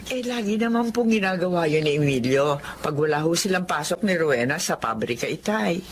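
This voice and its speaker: A woman's voice: low voice, animated, brisk, slight background noise. A woman with a Filipino accent playing a respectful, contented middle-aged mother in an audio drama, speaking deferentially. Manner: polite, deferential.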